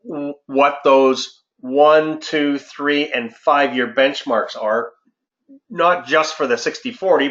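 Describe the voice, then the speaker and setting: A man presenting to clients as a financial advisor, speaking haltingly and often repeating words. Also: medium pitch, a bit bright. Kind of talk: informal slide presentation to clients. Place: indoor room on a conference call. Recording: muffled, roomy conference-call audio.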